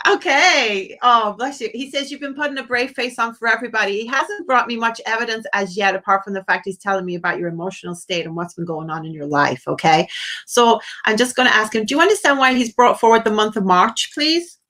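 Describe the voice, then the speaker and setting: A woman's voice: on the high side, fairly quick, sharp tone. A woman hosting a live phone-in as a psychic medium, effusive with endearments, relaying messages to a caller. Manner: effusive, uses endearments and blessings; relays messages in quoted asides.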